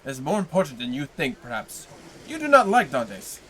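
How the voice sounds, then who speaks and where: A man reading aloud puts on a crafty, jealous ship's officer from a storybook, who asks sly, probing questions in a bright, lifted tone. He needles and schemes with a sneer in every line. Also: mid-range voice, wildly swooping pitch, brisk, a little hiss or hum. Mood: scheming.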